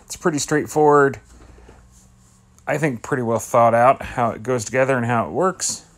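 A man's voice: mid-range voice, moderate pace. A middle-aged man giving casual, plain-spoken voice-over commentary as a vlogger, sounding laid-back.

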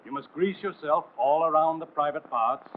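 A man's voice: fairly high pitch, normal speed. A man narrating a 1940s military training film in a formal, authoritative, didactic and emphatic scripted style. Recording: noisy, tinny 1940s film soundtrack.

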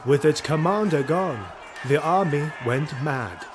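A man's voice: a little high, expressive, measured pace, a crowd in the background, noisy background. A man narrating a fantasy audio drama in a grave, dramatic storytelling manner. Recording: music behind the voice. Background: dramatic music.

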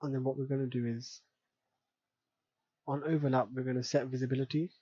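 A man giving an unscripted software tutorial in a casual way, thinking aloud step by step as he works. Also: medium pitch, flat delivery, brisk, slightly rough, no echo, dull audio. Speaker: tutorial presenter. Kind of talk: unscripted screen-recorded software tutorial narration.

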